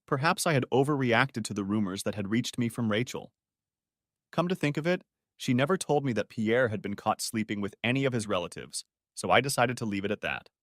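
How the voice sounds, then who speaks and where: Male audiobook narrator, animated delivery, dry booth sound. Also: mid-range voice, a little fast, dry recording. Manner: expressive storytelling. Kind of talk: scripted story narration.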